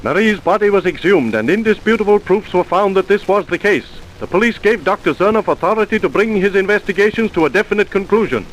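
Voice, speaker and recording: An actor playing a grave, emphatic narrator of an old radio mystery, formal and declamatory. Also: male voice, sits high, lively intonation, quick pace, hardly any pauses. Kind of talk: vintage scripted radio narration. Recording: noisy vintage radio recording.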